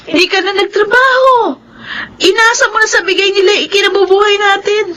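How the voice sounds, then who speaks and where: An actress playing a worried, scolding, hardworking wife in a Filipino-accented radio drama, nagging and pleading. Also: female voice, very high voice, steady tone, fairly quick, bright, forceful tone. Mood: reproachful. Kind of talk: Philippine radio drama acting.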